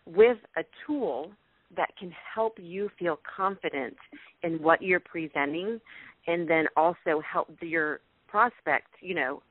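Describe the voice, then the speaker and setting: A woman's voice: fairly low pitch, no echo. A woman taking part in a telephone conference call, talking in an enthusiastic, emphatic and conversational way from personal experience. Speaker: participant on a business conference call. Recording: narrow, tinny telephone conference line.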